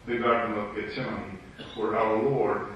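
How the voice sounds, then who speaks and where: Romanian-accented man, slow and searching for words, distant echoey room. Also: on the low side, little pitch movement, a bit bright, dull audio, a little hiss or hum. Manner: hesitant, searching for English words. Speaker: participant. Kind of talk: group Bible study discussion. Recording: echoey room, far from the mic.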